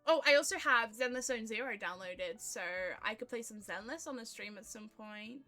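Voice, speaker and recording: A woman's voice: fairly high pitch, moderate pace, pushed and bright, soft background music. A woman livestreamer chatting casually with viewers, in a chatty, gushing way. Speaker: streamer, indie vtuber.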